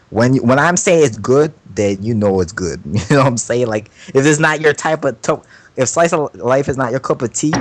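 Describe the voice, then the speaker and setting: A man chatting casually on an anime podcast, rambling through his opinions in slangy, profane, halting speech full of repetition. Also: fairly low pitch, no room sound.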